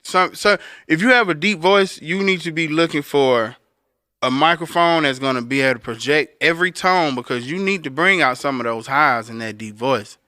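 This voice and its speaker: Bright, crisp male podcast co-host. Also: moderate pace, dry recording, clean studio sound. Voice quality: deep voice. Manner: conversational explainer, addressing listeners directly. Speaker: podcast co-host and recording engineer. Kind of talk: casual instructional talk.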